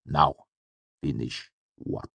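A man voicing a cold, menacing hooded lord in an audiobook, speaking with careful, patient politeness and quiet command. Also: sits low, slow pace, slightly rough, no echo, full character voice. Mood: cool, forgiving. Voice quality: cool voice. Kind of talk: audiobook character voice.

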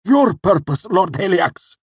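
A man voicing a submissive male underling in an audiobook, with a strained, gasping, halting voice. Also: fairly low pitch, expressive, slightly rough, dry recording, pitched above the performer's own voice, exaggerated voice. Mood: agonized, choked. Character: arrogant servant turned cowering, pained, fawning underling. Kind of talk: audiobook character voice.

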